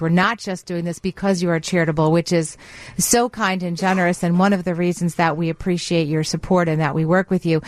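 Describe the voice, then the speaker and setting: A woman's voice: fairly low pitch, no echo. A woman co-hosting a radio program in a conversational style. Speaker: radio co-host.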